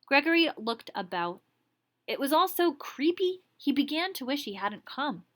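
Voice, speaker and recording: A woman teacher reading a story aloud expressively, pausing to explain words in a casual way. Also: high-pitched, sing-song, fairly bright tone.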